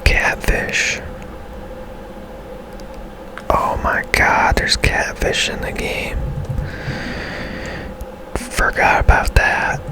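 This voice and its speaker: Guy whispering asmr gaming commentary, real slow, casual musing, all hushed. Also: low-quality recording. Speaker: game streamer, ASMR host.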